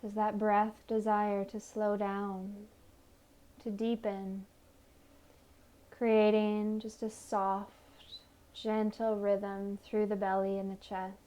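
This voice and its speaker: Slow, mellow woman guiding meditation. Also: mid-range voice, completely flat delivery. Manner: soothing, gentle, unhurried invitations. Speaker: guided meditation instructor. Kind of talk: guided meditation, body scan narration.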